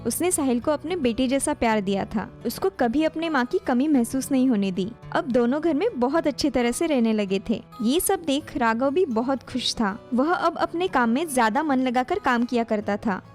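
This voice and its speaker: A woman's voice: high voice, lively intonation, normal speed, few pauses, darkish tone, faint music behind. A woman reading scripted narration for a cartoon family story, as a storyteller.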